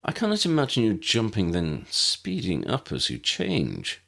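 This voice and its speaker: A man voicing an erudite, courteous, cautious gentleman adventurer who speaks in formal, precise phrases. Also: fairly low pitch, wildly swooping pitch, gravelly, voice pushed up, full character voice. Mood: pondering. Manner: formal, measured, precise wording.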